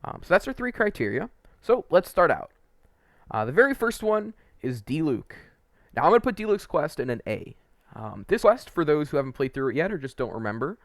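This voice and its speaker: A man's voice: on the high side, no echo, studio quality. A man giving casual, opinionated video game commentary as a host, conversational and a little hesitant, wandering into asides.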